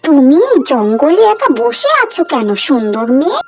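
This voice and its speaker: A woman voicing a gentle, kindly talking crow in an animated fairy tale. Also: high-pitched, moderate pace, faint music behind. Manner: kindly, reassuring. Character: gentle talking crow who befriends a lonely girl.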